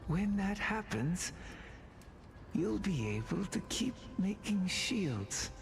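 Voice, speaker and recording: A woman playing a weary, gentle elder guardian in a video game, speaking in a hushed, sighing, tender way. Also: medium pitch, sing-song, slow pace, creaky, noisy background, full character voice. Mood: resigned, tender.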